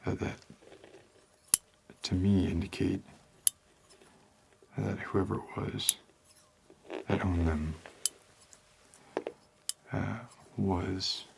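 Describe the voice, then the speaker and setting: Man acting a shady detective, low gravelly voice, deadpan ASMR roleplay, halting delivery, noisy recording. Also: full character voice. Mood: deadpan, musing. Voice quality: low, creaky. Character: laid-back, shady male homicide detective, darkly deadpan.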